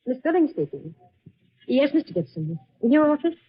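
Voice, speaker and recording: A woman's voice: high voice, very animated, moderate pace, husky, heavily acted. An actress playing a polite, hesitant young woman working as an office clerk, in old-time American radio drama style. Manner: deferential, hesitant. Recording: muffled, tinny old radio recording.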